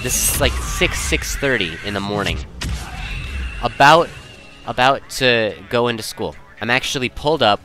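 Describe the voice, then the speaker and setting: A young man telling personal stories in a casual, rambling way, often interrupting himself to second-guess his wording. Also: mid-range voice. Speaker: storyteller.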